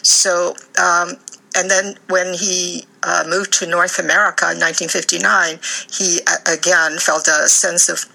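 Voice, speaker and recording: Woman, from Taiwan, author guest, studio interview, gravelly, bright, measured with fillers. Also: lowish voice, flat delivery, low-quality recording. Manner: measured, with many ums and uhs. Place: radio studio.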